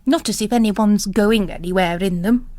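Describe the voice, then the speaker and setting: A woman's voice: mid-range voice, warm tone, dry recording, lightly acted. Woman playing a bossy, disapproving mother superior. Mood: chatty, amused. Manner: brisk, scornful, emphatic.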